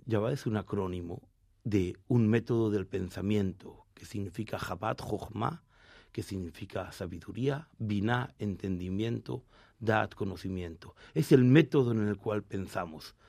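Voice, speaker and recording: A man, a rabbi from Argentina with a Mexican Spanish accent, answering interview questions in a measured, explanatory way with some restarts. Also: lowish voice, vocal fry, a little mellow, no echo, clean studio sound.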